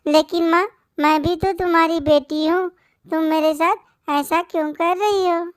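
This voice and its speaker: A woman's voice: very high voice, fairly even intonation, a little slow, no echo, studio quality. A woman voicing a pleading, mistreated little bird girl in a moral-story cartoon. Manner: pleading, plaintive.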